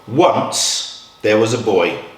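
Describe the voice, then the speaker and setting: Slow, lively storytelling man in echoey room. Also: lowish voice. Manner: measured, expressive, with dramatic pauses. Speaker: children's storytime reader. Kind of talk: picture-book storytelling read aloud for children. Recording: roomy echo, distant from mic.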